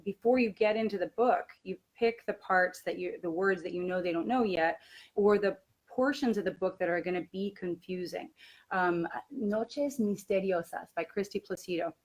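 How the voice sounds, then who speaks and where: A woman's voice: lowish voice, normal speed. A woman who teaches languages, explaining classroom methods informally and conversationally as she thinks aloud. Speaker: language teacher presenting a webinar.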